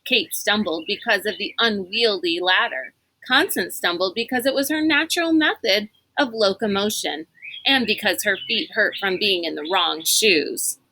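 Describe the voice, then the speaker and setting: A woman reading a storybook aloud as an expressive narrator. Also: medium pitch, moderate pace, no room sound, rough recording.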